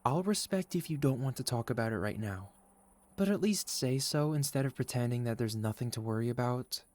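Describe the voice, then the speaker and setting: A man playing a gentle, caring young boyfriend in an intimate ASMR roleplay, speaking softly and sincerely to an anxious partner. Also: medium pitch, animated, close to an everyday voice. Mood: concerned, coaxing. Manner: soft, sincere, tender, halting when worried.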